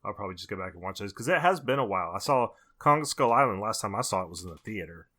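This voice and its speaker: A man's voice: lowish voice, expressive, quick pace, sharp tone. An American man co-hosting a podcast, reviewing movies in casual conversation. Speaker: podcast co-host.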